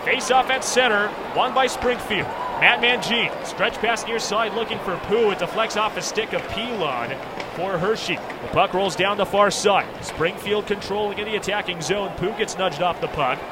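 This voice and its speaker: A man's voice: high-pitched, moderate pace. An American man calling live radio play-by-play from a sports arena in a clipped, running present-tense style. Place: sports arena broadcast position. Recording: loud crowd and arena noise behind. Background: roaring crowd, arena music.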